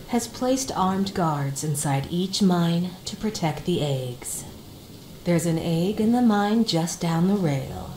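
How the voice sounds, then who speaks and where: A woman voicing a composed, solemn leader giving a formal, measured briefing in a video game. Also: lowish voice. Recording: roomy with music behind.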